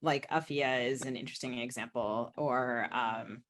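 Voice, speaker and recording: A woman's voice: very deep voice, fairly even intonation, normal speed, fairly bright tone, a little hiss or hum. A woman hosting a literary podcast, putting questions to an author in a thoughtful, considered way. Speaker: podcast host interviewing an author.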